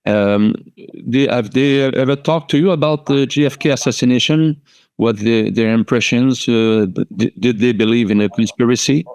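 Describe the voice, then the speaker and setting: Man asking halting questions, dry sound. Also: medium pitch, a little mellow, clear, resonant tone, no room sound. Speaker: interviewer. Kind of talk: podcast interview question.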